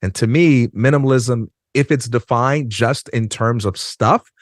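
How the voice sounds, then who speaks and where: A man in his forties, a podcast guest talking in an earnest, measured way and slowing down to stress points. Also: medium pitch, no echo, clean studio sound. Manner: earnest, measured, slows down for emphasis. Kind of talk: reflective conversation. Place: dark studio. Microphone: studio mic on a stand.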